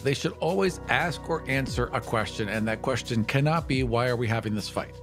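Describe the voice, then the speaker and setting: A man's voice: mid-range voice, fairly quick, music in the background. A man talking casually as a podcast panelist, earnest and emphatic about his points. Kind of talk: casual discussion.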